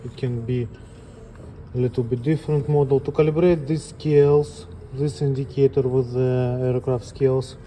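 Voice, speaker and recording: A man giving an unscripted step-by-step equipment tutorial as an instructor, speaking plainly and haltingly in short phrases. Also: medium pitch, steady tone, mellow, slight background noise. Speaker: instructor demonstrating equipment calibration.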